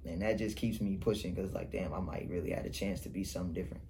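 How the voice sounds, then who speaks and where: A man's voice: low voice, steady tone, fast talker, warm tone, a little hiss or hum. A young man with a Detroit accent, a rapper talking casually in an interview, rambling and laughing often. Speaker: rapper guest.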